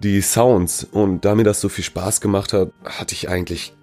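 A man with a very low, warm voice chats casually as a musician being interviewed. He is slangy and loose, teasing as he talks. Faint music plays behind him. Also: fairly even intonation, moderate pace.

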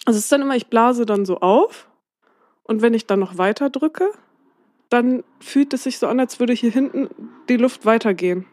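A woman's voice: on the high side, moderate pace, a little mellow, no echo. A young woman co-hosting a casual podcast chat, slightly nasal from a cold, hesitant and trailing off mid-sentence. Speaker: podcast co-host.

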